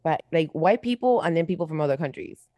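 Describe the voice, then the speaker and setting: A woman's voice: deep voice, animated, fast, warm tone, no echo. A young woman with a light Latin American accent, born abroad, chatting casually as a podcast co-host and rambling with lots of fillers and restarts. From born outside the US. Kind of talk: casual chat.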